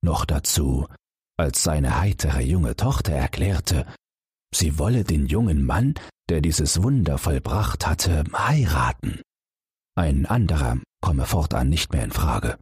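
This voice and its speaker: A man reading a fairy tale as a calm bedtime story, gently addressing young listeners. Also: extremely low pitch, slow, breathy edge, dry recording, clean studio sound. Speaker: audiobook narrator.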